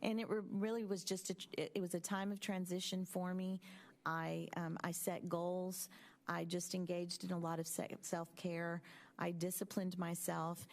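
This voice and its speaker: A woman in her fifties talks in a low, even voice with little rise and fall. She reflects on her own experience at a school board meeting, pausing often with ums. Her delivery is measured and thoughtful. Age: 50s.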